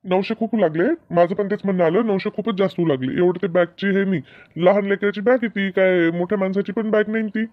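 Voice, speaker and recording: A man's voice: sits high, fairly even intonation, a little fast, no echo, natural voice. A man voicing a plain-spoken, impatient village father in a comedy cartoon, blunt but placating, on a lo-fi recording. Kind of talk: Marathi comedy cartoon dub.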